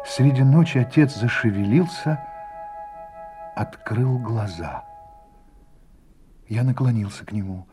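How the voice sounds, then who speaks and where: An actor narrating a radio play as a man looking back on his life, in a slow, reflective manner that falls to a hush at the ends of phrases. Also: fairly low pitch. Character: adult man recalling his youth, reflective and grave. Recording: studio narration over music. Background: music score.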